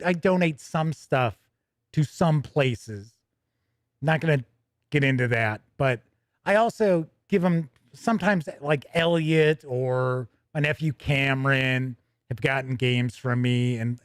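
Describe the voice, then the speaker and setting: A man's voice: fairly high pitch, dry recording, clean studio sound. A man hosting a casual livestream, talking in a rambling, conversational way and often interrupting himself as he goes. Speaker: livestream host. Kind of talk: casual livestream chat, answering viewer questions.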